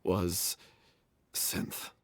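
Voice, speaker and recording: An actor playing a young male stage performer confessing a guilty secret, hesitant and then anguished, with a husky voice. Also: drawn-out words. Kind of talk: game voice acting.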